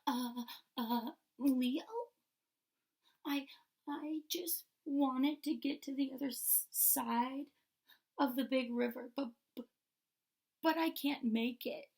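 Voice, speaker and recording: A woman's voice: high-pitched, takes it slowly, vocal fry, pauses often, a little mellow, heavily acted. A woman voicing a small, timid mouse in a storybook, hesitant and easily overawed. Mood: frightened. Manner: stammering, breathless wonder.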